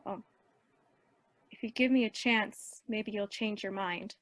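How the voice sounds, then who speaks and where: A woman's voice: medium pitch, steady tone, slow pace, no room sound, natural voice. An actress in a radio play as an earnest, eager eighteen-year-old girl who dreams of singing. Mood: pleading. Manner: earnest, youthful.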